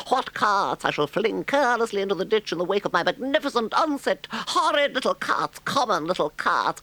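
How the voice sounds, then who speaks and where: A man's voice: very high-pitched, creaky, bright, forceful tone, no echo, pitched above the performer's own voice, exaggerated voice, rough recording. A man voicing a vain, rapturous male toad who talks in a dreamy, swooning way. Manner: dreamy, swooning, drawn-out exclamations. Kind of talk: storybook character voice.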